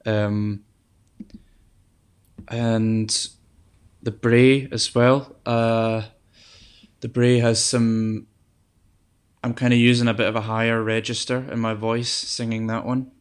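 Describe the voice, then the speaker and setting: A young man with a soft voice, a guest musician chatting casually in an interview, relaxed and hesitant with many ums and restarts. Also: medium pitch, slow, long pauses, smooth.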